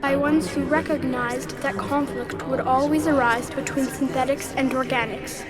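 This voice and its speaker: A woman playing an ancient, calm and superior machine intelligence in a video game, speaking in a measured, formal way. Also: sits high, natural voice. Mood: detached, explanatory. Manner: measured, formal, detached, didactic. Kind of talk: video game cutscene acting. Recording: loud music behind the dialogue. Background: loud game score.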